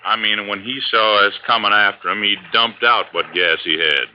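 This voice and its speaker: A man's voice: lowish voice, steady tone, normal speed, natural voice. A man playing a plain-spoken, skeptical lake boatman in 1950s radio drama style. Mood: wry, doubtful. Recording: muffled, noisy old radio recording.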